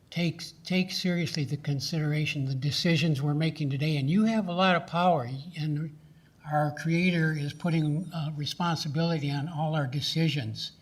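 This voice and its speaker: A man giving a halting, earnest public comment with a religious appeal at a county meeting. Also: fairly high pitch.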